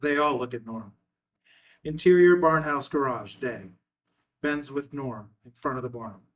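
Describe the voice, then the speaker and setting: A man with a medium-pitched voice reads out stage directions as a narrator. It is scripted screenplay narration, picked up from some way off the microphone. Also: a little slow. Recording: distant microphone.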